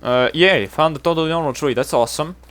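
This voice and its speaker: A man's voice: a little high, fast, a bit bright. A man from Serbia with a Serbian accent, chatting casually to his viewers while streaming a game, rambling and self-deprecating. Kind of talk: casual livestream chatter with chat.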